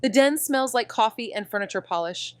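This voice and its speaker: A wry young woman investigator narrating a noir tale, played by an actress. Her tone is smooth and clear. It is recorded close and dry. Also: a little high, expressive, a little fast, no room sound, natural voice. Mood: wry narration. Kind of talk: noir audio drama narration.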